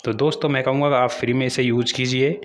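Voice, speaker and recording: A man's voice: medium pitch, steady tone, fast talker, slight background noise. An Indian man hosting a tech channel, giving a step-by-step app tutorial in voice-over and addressing viewers directly as friends.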